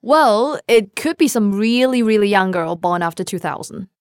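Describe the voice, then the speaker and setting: A native Cantonese woman co-hosting a scripted language lesson, with a Cantonese accent, modelling phrases slowly and carefully. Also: mid-range voice, animated, clear, resonant tone, no room sound. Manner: careful slow model pronunciation. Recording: dry studio recording.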